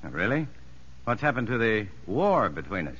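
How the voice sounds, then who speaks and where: A man's voice: on the low side, very animated, normal speed, fairly bright tone, slight background noise. An actor playing a shrewd, composed prison psychologist who narrates his story in a 1950s radio drama, educated and dryly skeptical. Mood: dry, probing. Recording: muffled vintage radio broadcast with music.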